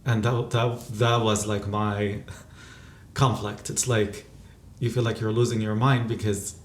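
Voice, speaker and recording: A man's voice: lowish voice, roomy sound, slight background noise. A man from Qatar in his thirties, answering interview questions thoughtfully, with fillers and restarts. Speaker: interview guest, physician and activist.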